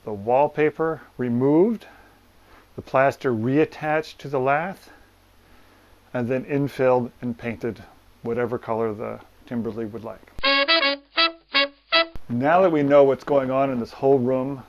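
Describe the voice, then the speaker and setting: A man presenting a repair walkthrough inside a house, explaining things in a plain, practical way with deliberate pauses. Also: medium pitch, darkish tone, lo-fi sound. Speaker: plaster repair business owner and presenter. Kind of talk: hands-on walkthrough explanation to camera. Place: room inside an old house.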